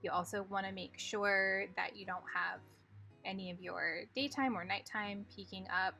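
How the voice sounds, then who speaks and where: A woman with a bright, clear voice talks through a card-making project step by step, calm and methodical. Soft music plays faintly under her voice-over. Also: mid-range voice, moderate pace. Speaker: craft tutorial host.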